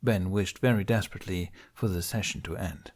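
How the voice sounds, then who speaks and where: A male audiobook narrator reads dramatic fiction. His voice sits a little low, and his delivery is measured and solemn. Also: a little mellow.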